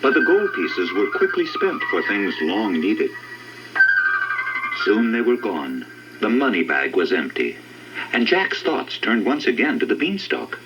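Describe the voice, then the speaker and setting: A man narrating a fairy tale with an expressive, dramatic reading. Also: on the low side, a little slow. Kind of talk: scripted storybook narration. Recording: dull lo-fi record with clear music.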